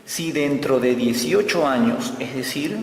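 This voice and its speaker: Male math teacher, unhurried and gravelly, repeats key steps; recorded in a reverberant room. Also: mid-range voice, a little hiss or hum. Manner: slow, repeats key phrases while explaining. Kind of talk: step-by-step algebra lesson. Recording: echoey room with long reverb.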